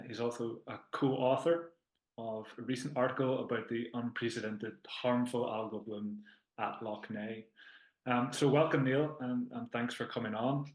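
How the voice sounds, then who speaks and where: A man hosting a podcast, speaking earnestly and hesitantly, with frequent restarts. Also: medium pitch. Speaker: podcast host. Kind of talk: podcast introduction and interviewing. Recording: echoey room.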